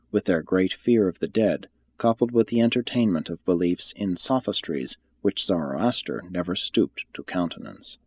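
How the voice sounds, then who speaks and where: A man reading a religious text aloud as scripted audiobook narration, in a measured, formal and solemn manner. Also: low voice, darkish tone, husky, dry recording.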